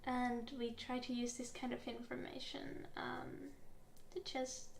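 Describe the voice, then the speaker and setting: A young woman, a native Finnish speaker, gently interviewing in a soft-spoken ASMR roleplay, unhurried with soft giggles. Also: a little high, level tone, gravelly, echoey room, slight background noise. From Finland.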